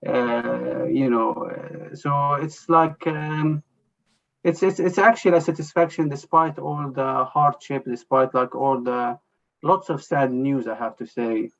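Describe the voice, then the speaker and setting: A man answers interview questions in a gravelly, creaky voice. He talks haltingly, restarting often and leaning on "you know". The sound is dull and lo-fi. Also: medium pitch, fairly even intonation. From Jerusalem. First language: Arabic. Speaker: journalist guest.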